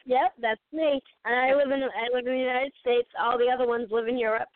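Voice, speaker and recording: A talkative young woman with a Boston accent, her voice a bit high and coming through a tinny phone line. She is a caller in a casual phone-in chat, and she laughs often. The sound is dry, with no room around it. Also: steady tone, brisk, no echo.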